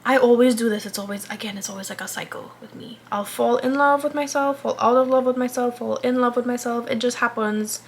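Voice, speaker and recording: A woman's voice: a little high, fairly even intonation, brisk, hardly any pauses, a little hiss or hum. A woman in her twenties chatting casually while doing her makeup, rambling and interrupting herself, sometimes dropping into a whisper. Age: 20s. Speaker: vlogger.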